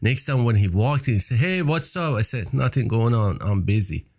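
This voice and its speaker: A man's voice: medium pitch, moderate pace. A middle-aged man from Iran with a Persian accent, telling his story as a guest in a casual interview and acting out the voices of the people in it. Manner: animated storyteller, acts out quoted voices. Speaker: entrepreneur guest.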